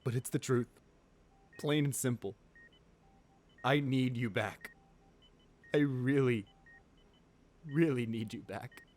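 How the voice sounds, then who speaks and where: A man acts out a ruthless man undone by love in a romantic audio roleplay. He speaks intimately and haltingly at a bedside, full of raw emotion and devotion. His pitch sits in a middle range. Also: darkish tone, lightly acted. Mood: grim, vengeful.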